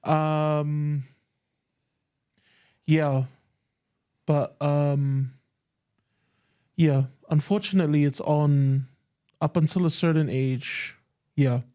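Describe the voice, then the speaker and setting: Earnest Tongan man, warm low tone. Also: fairly even intonation, drawn-out words, long pauses, clean tone. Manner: earnest, emphatic storytelling. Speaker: podcast co-host. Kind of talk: casual chat.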